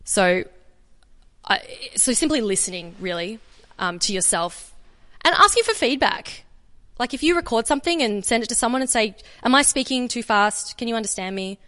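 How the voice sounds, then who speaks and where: An Australian woman giving an energetic, chatty conference talk and answering questions. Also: fairly high pitch, moderate pace, long reverb tail. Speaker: conference speaker. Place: conference room.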